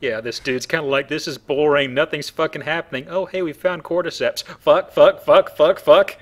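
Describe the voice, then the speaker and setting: A man's voice: fairly high pitch, steady tone, normal speed. A man hosting a video game playthrough with casual, joking commentary and a wry manner. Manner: wry, acts out mock quotes. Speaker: video game let's play host.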